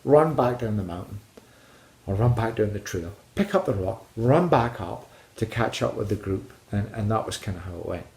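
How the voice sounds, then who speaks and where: A man from Scotland who vlogs about hiking, telling personal stories casually to his viewers in a rambling, halting way that often repeats phrases. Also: lowish voice, lively intonation, creaky, a little mellow. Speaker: hiking vlogger. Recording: echoey room.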